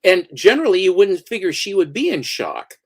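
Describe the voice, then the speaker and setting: A man co-hosts a casual livestream chat, his somewhat high voice rising and falling in a lively way. He jumps in with quick, animated reactions and comments. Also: husky.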